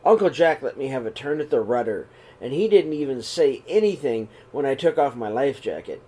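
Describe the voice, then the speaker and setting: Expressive man reading a storybook aloud. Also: mid-range voice, husky. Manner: reads slowly and expressively, voices the characters' calls. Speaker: storybook reader. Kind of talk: read-aloud storytelling for children. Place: small room indoors.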